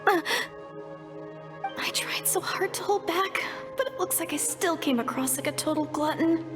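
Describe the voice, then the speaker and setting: An actress playing an anxious, apologetic young woman in a video game, speaking in an earnest, hesitant and expressive way. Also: extremely high pitch, normal speed, over music. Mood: relaxed, grateful.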